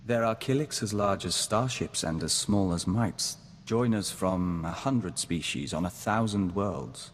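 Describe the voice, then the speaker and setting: A man playing a calm, formal diplomat joined to a hive mind, speaking in a measured, earnest way in a video game. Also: low-pitched. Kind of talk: scripted video game companion dialogue. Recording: long reverb, audible background noise.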